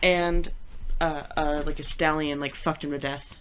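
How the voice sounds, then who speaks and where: Bright-voiced young woman, casual game chatter. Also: low voice, flat delivery, a little slow, slight background noise. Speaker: podcast co-host. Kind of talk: casual chat while playing a word guessing game.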